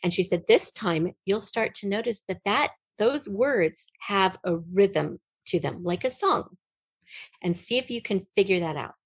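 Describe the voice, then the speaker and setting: A middle-aged American woman from the western United States, speaking as a podcast guest and telling personal stories in an animated, conversational way. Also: mid-range voice, measured pace, husky, dry recording. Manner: animated, acts out remembered dialogue. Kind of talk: conversational personal storytelling in an interview.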